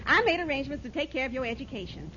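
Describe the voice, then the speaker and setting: An actress playing an eager, earnest wife in an old radio sitcom, gushing in folksy speech. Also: female voice, high-pitched, very animated, very fast, pushed and bright, exaggerated voice. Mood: eager, proud. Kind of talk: 1940s-50s radio sitcom acting. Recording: dull, hissy old radio broadcast.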